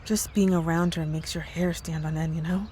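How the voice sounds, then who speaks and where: A woman's voice: low voice, steady tone, normal speed, a little mellow, slight background noise, natural voice. A woman playing a concerned coworker in an ASMR roleplay, speaking earnestly, hesitantly and with care. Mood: earnest, worried. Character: worried, caring married female coworker, hesitant and earnest. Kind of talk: ASMR roleplay audio drama.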